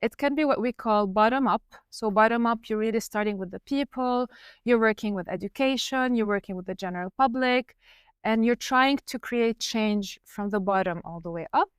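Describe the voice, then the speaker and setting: Smooth-voiced woman explaining her career. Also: fairly high pitch, no echo, studio quality. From Lebanon. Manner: explanatory, measured, with fillers. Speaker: guest researcher. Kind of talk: podcast interview.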